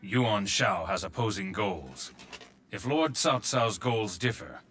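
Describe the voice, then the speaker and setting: An actor voicing a stern, resolute ancient Chinese warrior general in a video game, grave and blunt. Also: male voice, lowish voice, big pitch swings, takes it slowly, a bit bright, a little hiss or hum, full character voice. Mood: grave, measured.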